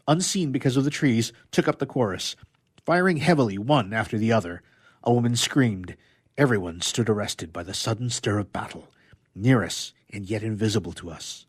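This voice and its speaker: A man reading an excerpt from a novel in a measured, expressive, dramatic narrating style. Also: fairly low pitch, slightly rough, no echo, studio quality. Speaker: radio player reading a literary excerpt.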